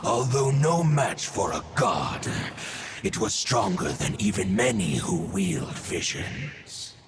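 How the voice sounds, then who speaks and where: Deep, ancient wolf god. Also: male voice, big pitch swings, slow pace, music in the background. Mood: solemn, reminiscing. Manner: stately, formal archaic speech. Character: proud, ancient, solemn male wolf god spirit. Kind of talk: video game voice acting.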